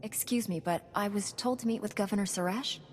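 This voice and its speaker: An actress playing a calm, principled female knight in a video game, speaking in a measured, polite way. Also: medium pitch, close to an everyday voice. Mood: composed. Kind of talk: scripted video game acting. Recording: long reverb, music underneath.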